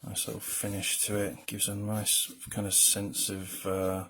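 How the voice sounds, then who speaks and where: Low, husky, mumbling man. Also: completely flat delivery, a little slow, a bit bright. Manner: rambling, self-deprecating asides. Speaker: comic colorist streaming a drawing. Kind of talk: casual live drawing commentary.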